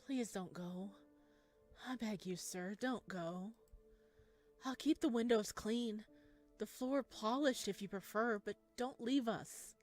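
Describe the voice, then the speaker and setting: A woman voicing a lonely, eerie creature-man who speaks in a soft, childlike, pleading way in a visual novel game. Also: mid-range voice, takes it slowly, soft background music, close to an everyday voice.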